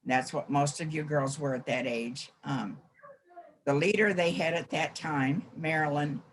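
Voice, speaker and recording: An 89-year-old American woman reminiscing in a group interview, with halting, deliberate phrasing. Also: sits low, slight background noise, poor audio. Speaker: former scout troop helper.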